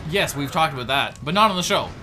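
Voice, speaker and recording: Male let's play co-host: casual, animated, slightly high-pitched, music playing underneath. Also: fast. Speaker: player and co-host of a gaming show. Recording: game music clearly behind the voice. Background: video game music.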